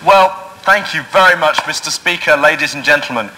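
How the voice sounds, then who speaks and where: A man giving a formal competitive debate speech, animated, with dry, sharp-tongued wit. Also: fairly high pitch, fairly quick. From England. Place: debating chamber of a parliament building. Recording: echoey hall recording.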